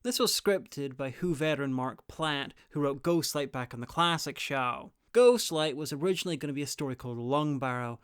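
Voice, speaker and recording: A man's voice: a little high, moderate pace, hardly any pauses, smooth, dry recording, clean studio sound. A man delivering scripted review commentary as the host of a video guide.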